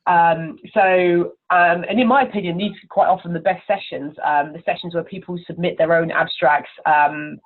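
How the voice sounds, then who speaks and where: A woman's voice: fairly low pitch, steady tone, normal speed, hardly any pauses. A woman giving informal, encouraging interview answers as a conference chair over the telephone. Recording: narrow, tinny telephone line.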